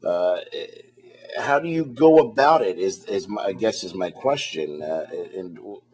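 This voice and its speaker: A man working as an interviewer, challenging his guest in a halting, repetitive way. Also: deep voice, expressive, a little mellow. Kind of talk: confrontational phone interview.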